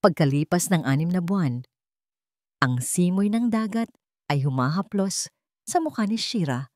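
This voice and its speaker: Female narrator for scripted romance audio story, dramatic and animated, slightly low voice, studio-dry. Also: normal speed, no echo.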